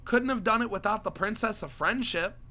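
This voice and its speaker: A man with a hoarse voice from a cold, reading aloud the lines of a bubbly, party-loving young female pony in a comic. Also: sits high, brisk, bright, forceful tone. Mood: chirpy.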